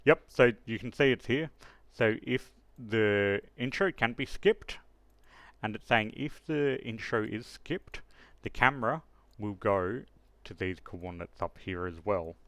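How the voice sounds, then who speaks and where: A man with a fairly low, gravelly voice walks through a software tutorial step by step. He thinks aloud as he explains each setting in an unscripted, instructional way. The recording is close and dry. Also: measured pace, no room sound. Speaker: tutorial host.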